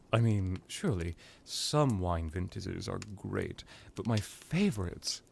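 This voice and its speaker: A man playing a suave, menacing vampire lord in an ASMR roleplay, musing languidly with drawn-out words and condescending affection. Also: low-pitched, big pitch swings, vocal fry, slight background noise, exaggerated voice. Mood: condescending, amused. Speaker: character roleplay performer. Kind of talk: ASMR villain roleplay monologue.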